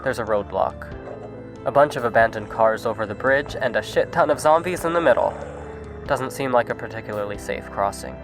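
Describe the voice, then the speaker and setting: A man's voice: mid-range voice, fairly quick, music in the background, lightly acted. A man playing an anxious, self-deprecating lone traveller in a zombie audio drama, speaking in a hesitant, awkward, wry way. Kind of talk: audio drama acting, casual dialogue.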